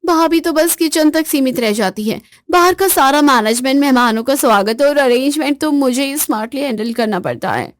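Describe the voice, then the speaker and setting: A woman voicing a boastful, sharp-tongued young wife in a spoken story, talking in a taunting, frazzled way. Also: lively intonation, moderate pace, few pauses, no echo, clean studio sound. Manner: taunting, then shrill and frazzled.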